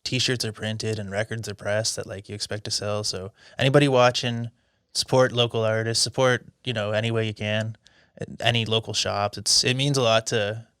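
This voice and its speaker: A man's voice: lowish voice, level tone, normal speed, fairly bright tone, smooth, no echo. Easygoing male podcast host, dry sound. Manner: easygoing, supportive, trailing questions. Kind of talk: casual interview chat. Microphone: studio microphone.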